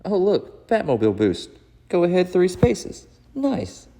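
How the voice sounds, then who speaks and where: Playful comic-book heroine, played by a man, raised pitch, amateur read-along, reverberant room sound. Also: wildly swooping pitch, a little slow, warm tone, full character voice. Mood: upbeat, playful. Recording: echoey room with background noise.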